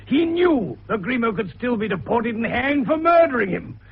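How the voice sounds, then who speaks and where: An actor playing an older, eccentric male doctor sleuth in a radio detective drama, with a gravelly voice and an avuncular, theatrical way of explaining. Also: extremely high pitch, sing-song, moderate pace, a little hiss or hum, heavily acted. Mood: emphatic, revelatory. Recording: dull, muffled radio recording.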